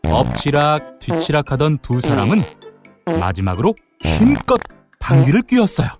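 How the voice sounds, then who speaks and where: A man narrating a children's folktale in an animated, dramatic way with playful sound words. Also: a little high, normal speed. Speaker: storyteller, narrator. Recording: music behind the voice.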